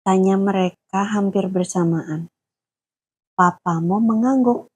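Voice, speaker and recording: A woman storyteller narrating a children's bedtime-style tale gently, pausing to explain words to a child listener. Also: medium pitch, husky.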